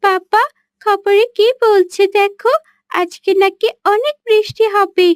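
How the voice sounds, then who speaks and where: Woman playing a high-voiced, earnest little cartoon daughter. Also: fairly even intonation, slow, no echo, clean studio sound. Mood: worried. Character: kind, worried young daughter, earnest and caring. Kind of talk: cartoon voice acting.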